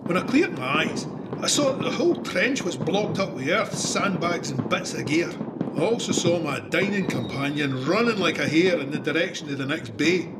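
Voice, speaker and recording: A Scottish soldier acted by a man tells his war story in an audio drama. His voice is fairly high and slightly distant, with loud ambient noise around it. He is plainspoken and wry, chatting as a storyteller would. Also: expressive, moderate pace, close to an everyday voice. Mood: tense recounting. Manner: conversational, storytelling. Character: young Scottish cavalryman soldier recounting trench shelling, wry and plainspoken. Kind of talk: dramatised first-person memoir narration.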